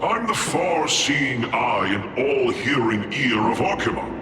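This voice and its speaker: A man's voice: mid-range voice, expressive, measured pace, lightly acted. A man voicing a jovial, boastful, gossipy talking lion statue for a video game, in a theatrical, teasing manner. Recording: roomy echo, music behind.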